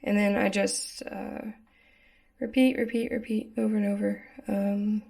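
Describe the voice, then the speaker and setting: A woman's voice: medium pitch, flat delivery, unhurried, gravelly, a little mellow. A young woman from Texas, chatting casually in a vlog, hesitant and full of ums. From Texas, United States. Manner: hesitant, lots of ums and likes. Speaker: vlogger.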